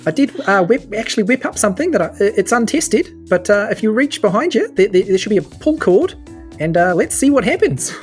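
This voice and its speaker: A woman voicing a flustered, halting male inventor who pilots a flying ship in a tabletop game. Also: medium pitch, big pitch swings, soft background music, natural voice. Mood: nervous. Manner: halting, flustered, repeats himself.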